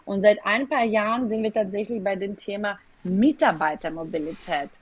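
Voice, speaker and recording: A woman's voice: mid-range voice, normal speed, no room sound. Woman with a Ukrainian accent. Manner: explains at length in slightly non-native German with hesitations. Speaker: guest, company executive. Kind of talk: podcast interview.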